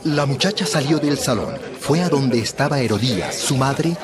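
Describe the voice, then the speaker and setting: A man's voice: medium pitch, animated, moderate pace, a loud crowd. A man narrating a dramatized Bible story from a script.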